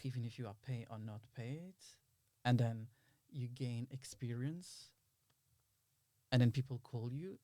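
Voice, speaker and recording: Guy with as chatting in an interview, average pitch, really dry recording, acts out little quotes. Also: a little mellow, dry recording. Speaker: interview guest, filmmaker and actor. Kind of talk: casual conversational interview.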